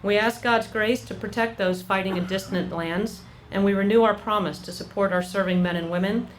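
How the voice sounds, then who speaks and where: A woman's voice: mid-range voice, steady tone, a little hiss or hum, rough recording. American woman reading a formal proclamation. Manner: measured, formal oratory. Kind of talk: formal read ceremonial address. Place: outdoor memorial ceremony.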